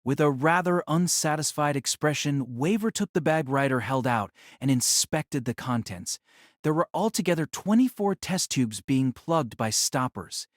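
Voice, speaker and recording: A man's voice: a little high, lively intonation, moderate pace, no echo, clean studio sound. A man narrating a novel as a scripted audio drama.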